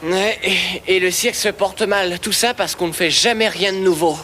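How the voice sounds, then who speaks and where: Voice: medium pitch, fairly even intonation, normal speed, slight background noise, lightly acted. A cartoon dub actor playing a confident, slightly guarded young runaway circus boy, self-assured and matter-of-fact. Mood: earnest. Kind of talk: French cartoon dubbing. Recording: loud music behind the voice.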